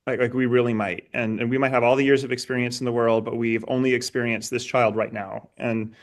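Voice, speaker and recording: A man hosting a conversational interview, earnest and halting, repeating words as he thinks aloud. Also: medium pitch, level tone. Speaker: interviewer, host.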